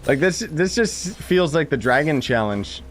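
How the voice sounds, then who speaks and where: A man's voice: a little high, very animated, measured pace, faint music behind. A man giving casual, slangy live commentary as he plays a game and chats with viewers. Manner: informal, slangy, exclaims and chuckles while playing. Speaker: gaming streamer.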